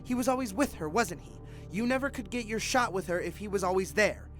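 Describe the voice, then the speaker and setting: An actor playing a hard-nosed, callous police detective in an audio drama, forceful, sneering and insistent. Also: male voice, high voice, brisk, soft background music. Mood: accusing, sneering.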